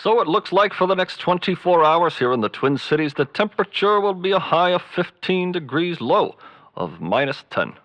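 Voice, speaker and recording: A man playing an earnest 1930s-style radio news announcer, formal and measured. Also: high-pitched, sing-song, a bit bright, no echo. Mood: earnest, informative. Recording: dull old-radio sound, faint music.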